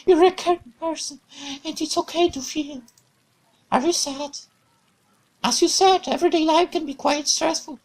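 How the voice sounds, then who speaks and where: A woman's voice: high-pitched, normal speed, voice pushed up, poor audio. A woman voicing a bubbly, cheerful young woman companion in a visual novel, with a raised, playful, exclamatory voice. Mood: gentle.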